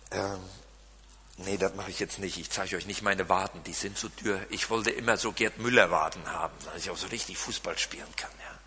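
A man with a Siegerland German accent preaching a sermon, swinging from loud emphasis to quiet asides. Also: lowish voice, a little hiss or hum. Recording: long reverb of a large hall.

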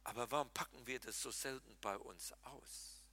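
A pastor preaching a lively sermon, talking straight to his congregation and mixing in jokes. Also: mid-range voice, moderate pace. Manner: animated, talks to the congregation directly, jokes and laughs.

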